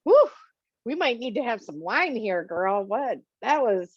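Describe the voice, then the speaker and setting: A woman hosting a podcast who chats casually and reacts with loud exclamations. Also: a little high, expressive, a little slow, husky, no echo, muffled recording. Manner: chatty and exclamatory. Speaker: podcast host. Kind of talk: casual interview.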